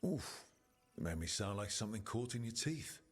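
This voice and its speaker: Fantasy game hero, very husky, lively low-pitched delivery, self-deprecating, wry. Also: male voice, normal speed, soft background music. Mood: wry, sheepish. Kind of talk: scripted video game dialogue.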